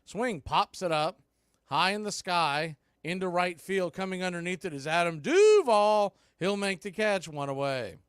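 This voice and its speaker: A man calling baseball play-by-play in a relaxed, chatty way with wry asides. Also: sits high, a little slow, clean tone, dry recording, clean studio sound. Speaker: play-by-play announcer.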